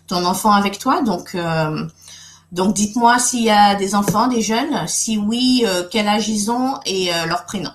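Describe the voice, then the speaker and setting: Middle-aged woman from Guadeloupe hosting a webinar, friendly, lots of fillers, roomy sound. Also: mid-range voice. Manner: friendly, many fillers and restarts. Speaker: webinar host and coach. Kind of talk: live webinar talk.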